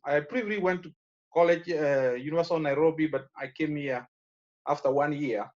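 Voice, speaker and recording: Kenyan man, high for a man, loose and rambling, muffled video call sound. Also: brisk, darkish tone. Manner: rambling, with many fillers. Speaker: alumnus speaking at a graduation. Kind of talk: casual advice speech on a video call. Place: his office.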